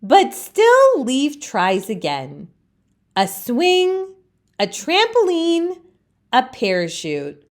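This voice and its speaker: A woman reading a children's storybook aloud as a storytime host, with expressive narration. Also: sits high, extremely slow.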